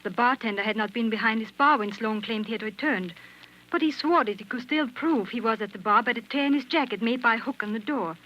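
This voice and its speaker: An actress in a 1940s radio drama playing a knowing shop proprietress who tells a tragic tale in a measured, formal way. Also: female voice, a little high, lightly acted. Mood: grave. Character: grave, knowing dress shop proprietress telling a tragic story. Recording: dull, noisy old radio recording.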